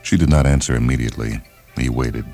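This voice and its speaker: A male storyteller with an exceptionally deep, bottom-register voice delivers scripted dramatic narration. Faint music plays beneath his reading. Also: quick pace, mellow.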